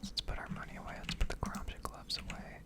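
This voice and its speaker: A woman whispering a casual, rambling, slangy ASMR commentary over gameplay, close to the microphone. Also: fast talker. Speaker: game streamer and ASMR video creator.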